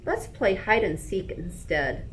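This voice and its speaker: Woman reading as gentle, slow-spoken storybook zookeeper man. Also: medium pitch, big pitch swings, slight background noise, poor audio. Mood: tired. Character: gentle, polite old zookeeper man, unhurried storybook character.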